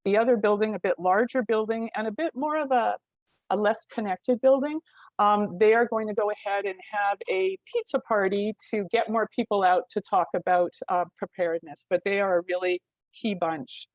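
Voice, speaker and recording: A woman's voice: medium pitch, normal speed, dry recording, muffled recording. A woman who works with tenants in rental housing, speaking casually in a webinar with many ums and likes. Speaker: housing community worker. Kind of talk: webinar presentation. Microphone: video call.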